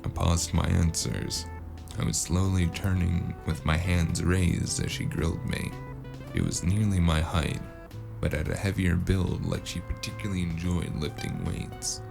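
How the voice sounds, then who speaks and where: A man narrating a noir audio drama as the lead, dry and wry, with a deadpan manner, over a music score. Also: sits low, a little slow, gravelly. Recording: music score playing underneath.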